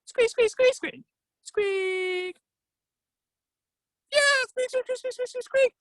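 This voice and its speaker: A man voicing a mischievous, stubborn squirrel from a children's story, with squeaky, cheeky chatter. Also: no room sound, clean studio sound, exaggerated voice. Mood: excited. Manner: squeaks and cheeky quick retorts.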